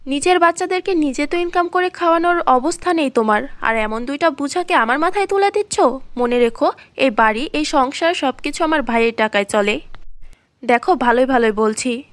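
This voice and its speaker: A woman's voice: sits high, expressive, brisk, dry recording. A woman voicing a bitter, domineering aunt and wife in a cartoon fairy tale, scornful and threatening.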